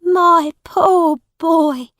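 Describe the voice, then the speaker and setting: A woman's voice: very high-pitched, extremely slow, fairly bright tone, dry recording, clean studio sound, voice pushed up, heavily acted. A woman voicing an anxious, loving mother with a pleading, concerned manner in a storybook reading. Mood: pitying, pleading.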